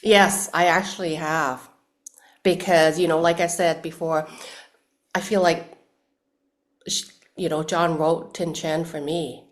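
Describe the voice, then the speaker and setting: A woman's voice: deep voice, fairly even intonation, takes it slowly, pauses often. A woman from Hong Kong, speaking thoughtfully as an interview guest, with many restarts and fillers. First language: Cantonese. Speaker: actress guest. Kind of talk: casual interview chat.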